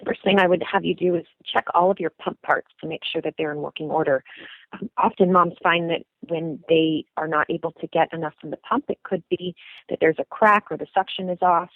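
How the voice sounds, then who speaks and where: A woman with a gravelly voice gives calm, step-by-step advice. Her voice comes through a thin, phone-like recording that is dry and close. She says um often. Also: lowish voice, fairly quick, no room sound. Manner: calm and instructive, frequent ums. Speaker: lactation consultant. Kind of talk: advice answer. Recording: phone-like, narrow band.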